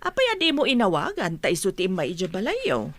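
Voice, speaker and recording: A woman's voice: mid-range voice, wildly swooping pitch, fast talker. A woman playing an inquisitive, concerned middle-aged auntie in a radio comedy drama. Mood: curious, concerned.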